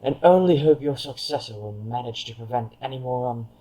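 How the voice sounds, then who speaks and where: A man's voice: mid-range voice, fairly quick, mellow, lightly acted, low-quality recording. A man playing a haughty aristocratic villain in a fan audio drama, with a silky, formal, condescending manner. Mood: smug menace. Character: haughty aristocratic male villain, coldly smug.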